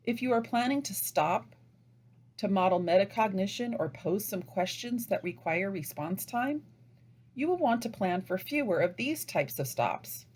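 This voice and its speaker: A woman delivering scripted instructional narration as a teacher trainer, with deliberate, didactic phrasing. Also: mid-range voice, lively intonation, a little mellow, husky.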